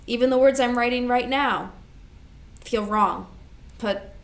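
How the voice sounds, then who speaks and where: A woman's voice: a little high, a little slow, creaky. A woman playing a responsible, composed elder sister grieving her mother in a staged play reading. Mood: solemn, measured.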